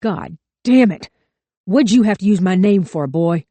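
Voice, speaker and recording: Snarling gunman as a woman reads him, rough voice, loud, dry close sound. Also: low-pitched, brisk, dry recording. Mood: furious. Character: violent rural male gunman, snarling.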